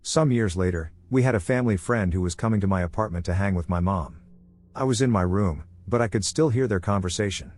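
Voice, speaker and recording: A man with a fairly low voice reads out creepy first-person stories. His delivery is calm and steady, a scripted storytelling narration. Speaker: voice actor narrating submitted stories.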